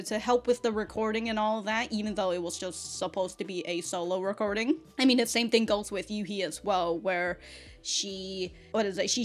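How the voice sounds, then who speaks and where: A woman giving casual, off-the-cuff reaction commentary as a review host, rambling, slangy and animated, with some swearing. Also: medium pitch.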